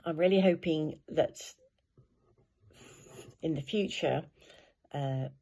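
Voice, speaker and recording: A woman in her fifties, a former doctor, speaking thoughtfully with careful pauses. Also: sits low, warm tone, husky. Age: 50s. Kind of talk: personal testimony.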